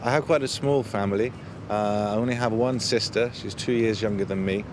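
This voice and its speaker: A man with a fairly low voice gives considered interview answers. He is outdoors in town, with clear traffic rumble around him. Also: fairly even intonation, a little fast. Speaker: street interviewee. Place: outdoors in a city. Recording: noisy outdoor urban street, traffic rumble.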